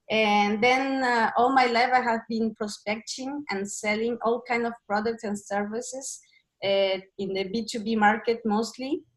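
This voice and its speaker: Woman with a higher voice on a video call. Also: rough recording. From Chile and Brazil. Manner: halting, searching for words. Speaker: interview guest, business consultant. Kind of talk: casual interview over video call.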